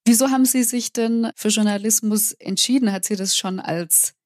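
Woman interview host, formal and polite, mid pitch, dry studio sound. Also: moderate pace, a little mellow, dry recording. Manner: polite, formal, introduces guest and topics. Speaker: podcast interviewer. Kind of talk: structured interview hosting.

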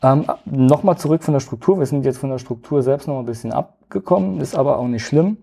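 A man hosting a podcast, introducing the episode and asking calm interview questions with short affirmations. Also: mid-range voice, fairly quick, creaky, husky. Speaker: podcast host.

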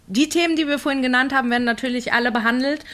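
A woman's voice: high voice, racing, fairly bright tone. A woman with a Hessian accent co-hosts a light pop culture podcast. She is upbeat and keeps the talk moving, leading topics and closing them off. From Frankfurt, Germany. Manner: upbeat, wraps up and leads segments. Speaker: podcast co-host. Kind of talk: casual chat. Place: home in Munich.

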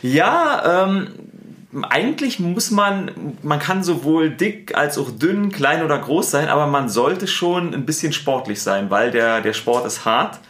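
A man with a Berlin accent, a sports TV presenter and editor, answering interview questions in a relaxed, chatty way with many fillers. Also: fairly high pitch, unhurried, reverberant. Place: studio.

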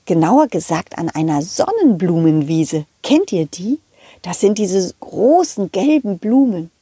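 A woman's voice: fairly low pitch, very animated, a little slow, warm tone, husky, dry recording, clean studio sound. A woman puppeteer telling a children's story in character, with childlike enthusiasm, speaking straight to the children. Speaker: puppeteer storyteller voicing a dog hero.

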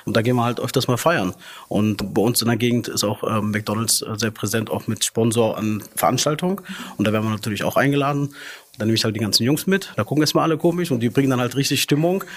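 Chatty young man, mid-pitched. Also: quick pace. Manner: chatty, frequent fillers and restarts. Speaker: restaurant manager. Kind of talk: interview guest, casual.

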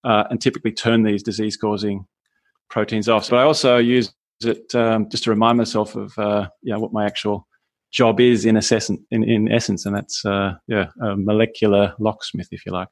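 A middle-aged Australian man speaks in a low, flat tone. His voice has a gravelly edge, and he answers as a podcast guest with frequent fillers and restarts. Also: a little fast. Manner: hesitant, many restarts and fillers. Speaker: guest scientist. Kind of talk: podcast interview.

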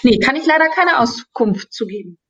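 A woman's voice: on the high side, animated, normal speed, a bit bright, husky, lo-fi sound. A woman, a voice actress and mother, talking cheerfully in an interview. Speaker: voice actress guest. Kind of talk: casual interview talk.

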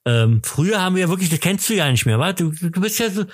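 A man's voice: high voice, big pitch swings, fast, no echo, studio quality. A middle-aged man hosting a casual podcast, rambling and teasing, slightly tipsy. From Germany. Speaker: podcast host. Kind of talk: casual chat.

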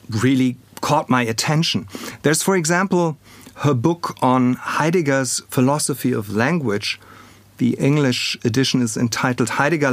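A man with a German accent hosting an academic podcast, speaking in a measured, formal way as he introduces and questions a guest. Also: mid-range voice, no room sound. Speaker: podcast host; political scientist.

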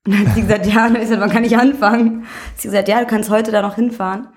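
A woman's voice: medium pitch, steady tone, racing, darkish tone. A woman from Berlin, telling personal stories as an interview guest in a colloquial, candid way. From Berlin, Germany. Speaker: interview guest, music promoter. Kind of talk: casual chat, personal storytelling.

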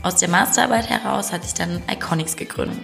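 Low, gravelly woman narrating an intro. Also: fast, a bit bright, soft background music. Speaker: podcast narrator.

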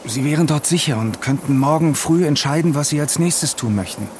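An actor playing a calm friend who takes charge in a naturalistic audio drama, measured and conciliatory. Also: male voice, fairly high pitch, a little hiss or hum, close to an everyday voice. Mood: reassuring. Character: Vincent, calm placating male friend taking charge.

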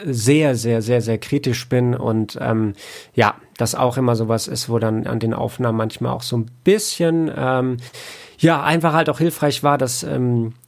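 A man's voice: mid-range voice, moderate pace, gravelly, darkish tone. A man answering casually as an interview guest, hesitant and often searching for his words. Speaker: interview guest, audio drama producer and author.

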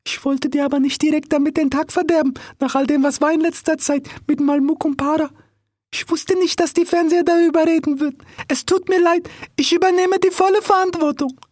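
A man voicing a meek, apologetic small bird assistant in a very high voice. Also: fairly even intonation, fairly quick, dry recording, studio quality. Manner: soft, apologetic.

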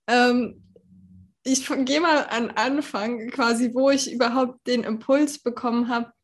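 A woman in her early thirties speaks in a fairly high voice as a podcast guest. She is casual and informal, thinking aloud with some hesitation as she goes. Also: steady tone, a little slow. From Berlin, Germany. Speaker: podcast guest, holistic health consultant. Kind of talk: casual interview talk.

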